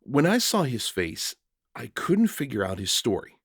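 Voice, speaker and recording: Expressive male storyteller, dry close sound. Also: mid-range voice, slightly rough, no room sound. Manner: measured, reflective storytelling. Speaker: story narrator. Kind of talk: dramatic scripted first-person narration.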